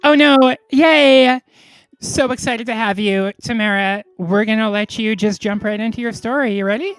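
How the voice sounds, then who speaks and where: A woman's voice: fairly high pitch, normal speed, clean tone, no echo. A woman hosting an online comedy show while congested from a cold and a little tipsy, bubbly and giggly with her guests, over a video call. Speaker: host.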